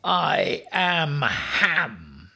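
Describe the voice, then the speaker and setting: Man acting a pompous, commanding storybook man, husky-voiced. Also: fairly high pitch, big pitch swings, very slow, pushed and bright, voice pushed up, heavily acted. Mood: stern, boastful. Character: Ham, bossy, boastful man, self-styled future emperor. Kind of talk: children's storybook character voice.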